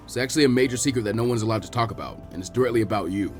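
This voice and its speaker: Man voicing a gruff, blunt older ninja sage. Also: lowish voice, lively intonation, rapid-fire, faint music behind, pitched below the performer's own voice, lightly acted. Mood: dry. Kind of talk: character voice in fan fiction reading.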